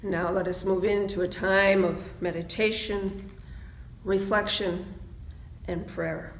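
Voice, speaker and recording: A woman minister leading a reflective prayer and meditation in a solemn, measured way with deliberate phrasing. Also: mid-range voice, fairly even intonation. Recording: roomy, echoing sound.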